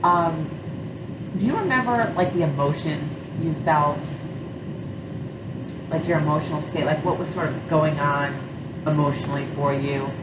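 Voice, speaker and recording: Nasal low-voiced woman with a cold. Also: normal speed, pauses often. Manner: gentle, hesitant, many fillers like you know and um. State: has a cold, a little nasal. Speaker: sport psychology consultant. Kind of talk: counseling session. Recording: echoey room, far mic, loud hum.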